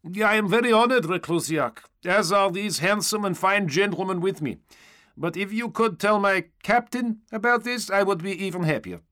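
A man's voice: sits high, moderate pace, a bit bright, pitched above the performer's own voice. A man playing a cheerful, chatty foreign soldier in an audiobook, polite and eager, with non-native phrasing. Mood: cheerful, hopeful. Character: cheerful, chatty, optimistic young male foreign stormtrooper.

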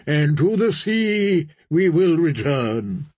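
A man voicing a fervent male priest of a sea god, preaching in a slow, solemn way. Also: fairly high pitch, big pitch swings, a little mellow, slightly rough, no echo, full character voice.

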